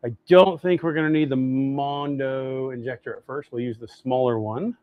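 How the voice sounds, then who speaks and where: A man's voice: medium pitch, steady tone, normal speed, narrow, tinny audio. An American man hosting a casual live demonstration, talking to viewers in a folksy, easygoing way and thinking aloud as he works. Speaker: host of a fishing lure making livestream.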